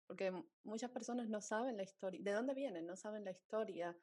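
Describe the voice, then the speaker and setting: A woman hosts a casual interview in a medium-pitched voice. Her delivery is conversational and informal. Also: fairly quick, mellow.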